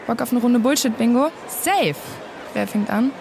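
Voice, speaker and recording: A young woman playing a cheeky, opinionated student activist with slangy, wry delivery and a creaky voice in naturalistic audio drama. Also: on the high side, normal speed, a little mellow. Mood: wry, teasing. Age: young adult. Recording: faint outdoor noise.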